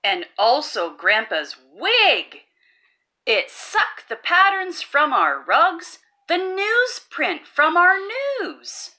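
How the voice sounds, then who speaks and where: A woman's voice: high voice, huge pitch swings, drawn-out words, pushed and bright. A woman hosting a children's read-aloud, reading a rhyming picture book in a playful, sing-song way. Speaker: storybook read-aloud host.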